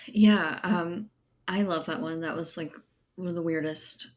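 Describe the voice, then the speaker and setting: A woman's voice: fairly low pitch, steady tone, measured pace. A woman speaking as a guest author in a casual, reflective interview, thinking aloud in loose, informal clauses.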